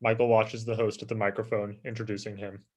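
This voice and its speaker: A man narrates a script's stage directions at a table read. His voice sits a little low, and he reads in a plain narrating style. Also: level tone, a little fast.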